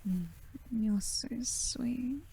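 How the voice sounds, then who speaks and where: A woman's voice: fairly high pitch, full character voice, poor audio. Woman playing a whispering British vampire mistress. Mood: doting, possessive. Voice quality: breathy, whispery. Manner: slow, teasing, softly commanding. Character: possessive female vampire mistress, teasing, doting and predatory. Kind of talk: intimate ASMR roleplay.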